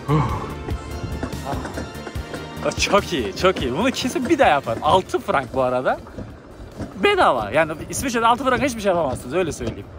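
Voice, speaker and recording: A man's voice: high voice, huge pitch swings, brisk, long pauses, over music. A man, chatting casually and thinking aloud in slangy, informal speech as a travel vlogger. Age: 20s. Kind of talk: casual spontaneous vlog chat. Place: outdoors on mountainside, some in cable car. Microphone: handheld camera at arm's length.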